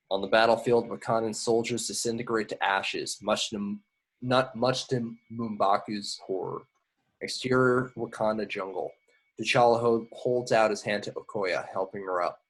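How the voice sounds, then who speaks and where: A man's voice: on the low side, poor audio. A man reading screenplay stage directions aloud at an informal table read, occasionally stumbling over words. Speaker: action description reader.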